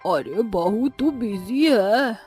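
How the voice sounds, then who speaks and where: A man's voice: high-pitched, animated, extremely slow, a little mellow, faint music behind, full character voice. A man voicing a forgetful, demanding elderly mother-in-law in a cartoon.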